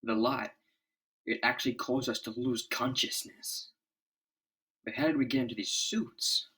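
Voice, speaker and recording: Guy doing an earnest comic book hero leader, medium pitch, declares everything dramatically. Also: unhurried, bright, forceful tone, slightly rough. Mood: bewildered. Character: earnest, commanding adult male superhero scientist leader.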